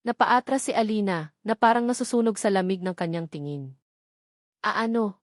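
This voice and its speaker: A woman storyteller delivers scripted, dramatic narration at a medium pitch. The recording is very dry, with no room sound on the voice. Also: expressive, fairly quick, a little mellow, no room sound.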